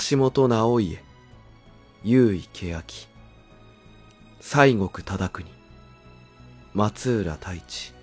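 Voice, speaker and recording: Low, very slow male credits narrator. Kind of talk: slow scripted credits reading.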